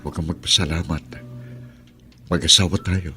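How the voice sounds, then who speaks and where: An actor playing a kind middle-aged husband who speaks tenderly and reassuringly in a radio drama. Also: male voice, low-pitched, steady tone, normal speed, bright, forceful tone, faint music behind.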